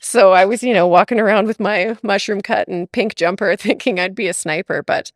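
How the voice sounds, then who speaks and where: A woman's voice: medium pitch, brisk, dry recording, clean studio sound. A woman from Alberta, Canada, chatting as a guest in an interview, laughing often and talking with lots of fillers. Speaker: podcast guest. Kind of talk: casual interview chat.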